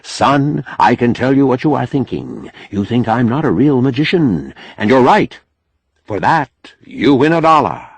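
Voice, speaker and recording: A man's voice: mid-range voice, sing-song, measured pace, vocal fry, slightly rough, no echo, voice pushed up, full character voice. A man voicing a stage magician with mildly puzzled, offhand showman's patter in an audiobook. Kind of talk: audiobook character acting.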